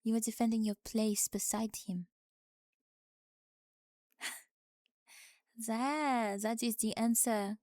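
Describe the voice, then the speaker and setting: A woman's voice: on the high side, steady tone, takes it slowly, long pauses, mellow, no room sound, studio quality. A woman playing a forthright, dryly witty young French exchange student in an ASMR audio drama, with a French accent and precise, formal phrasing. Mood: calm, perceptive.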